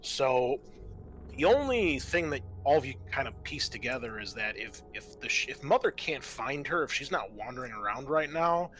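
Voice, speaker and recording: A man narrating an improvised tabletop game as game master, halting and often pausing mid-sentence. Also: medium pitch, bright, forceful tone.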